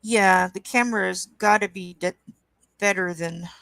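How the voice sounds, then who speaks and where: A woman with a croaky voice hosting a coloring livestream, chatting casually and reading chat aloud at an easy pace. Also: medium pitch, no room sound. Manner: slow, unhurried, reads chat aloud with soft asides. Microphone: webcam.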